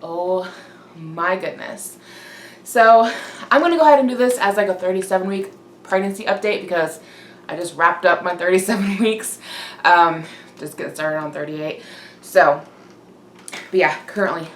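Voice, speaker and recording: A young woman vlogging in a casual, chatty way, slightly congested, breathy and winded from a cold. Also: lowish voice, normal speed, long pauses, fairly bright tone. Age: young adult. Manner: informal, chatty. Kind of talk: casual chat to camera. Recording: roomy echo, clear background noise.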